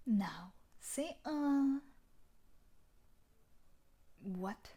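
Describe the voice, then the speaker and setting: A woman's voice: on the high side, lively intonation, drawn-out words, mellow, heavily acted. A woman playing a tough, sadistic, possessive bully and martial artist in a roleplay audio drama, taunting and condescending. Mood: condescending, commanding.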